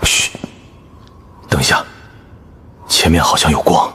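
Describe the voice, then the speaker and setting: A man playing a blunt, grumbling tough-guy survivor in an animated drama. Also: a bass voice, unhurried, background noise. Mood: wary. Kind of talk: animated web drama acting.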